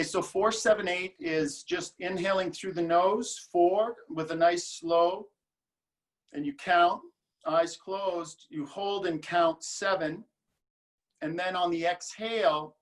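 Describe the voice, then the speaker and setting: A yoga and breathwork teacher, a man, calmly explaining a counted breathing technique in a video call webinar. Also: high-pitched. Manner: relaxed, slow counted instructions.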